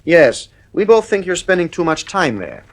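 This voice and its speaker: An actor playing an irritable, stubborn father and husband in a radio drama, with a gruff, commanding manner. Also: high-pitched, sing-song, normal speed. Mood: stern.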